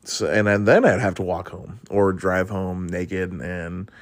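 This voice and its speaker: Low-voiced rambling man, dry sound. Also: unhurried, dry recording. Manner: rambling and profane, with frequent laughs and fillers. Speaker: podcast host. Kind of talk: casual chat answering would-you-rather questions.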